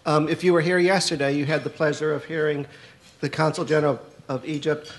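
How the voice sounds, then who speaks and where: A man's voice: a little high, little pitch movement, a little fast. A man moderating a university panel, reading guest bios with some stumbles and posing questions. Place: university lecture hall.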